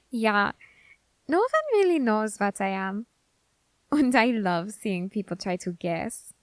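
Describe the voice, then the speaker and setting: A woman voicing a curious, androgynous grim reaper in an ASMR roleplay who questions a captive demon in a slow, teasing, softly whispered way. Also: mid-range voice, very animated, dry recording, clean studio sound.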